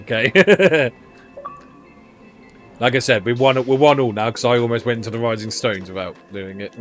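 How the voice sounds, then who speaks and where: A man's voice: mid-range voice, moderate pace, soft background music. A man streaming a video game, chatting casually and playfully as he plays. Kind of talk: casual gameplay chat.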